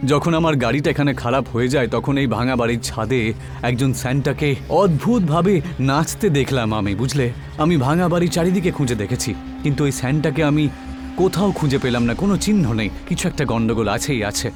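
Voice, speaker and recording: A man's voice: mid-range voice, expressive, a little fast, over music, natural voice. A man playing a determined, serious police inspector in a cartoon horror story, speaking in a commanding, insistent way. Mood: troubled, puzzled.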